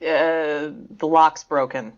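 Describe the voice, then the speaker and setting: A level-headed, skeptical woman played by an actress speaks low and matter-of-fact, with dry understatement. It is an audio drama in slightly muffled sound. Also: fairly even intonation, slow.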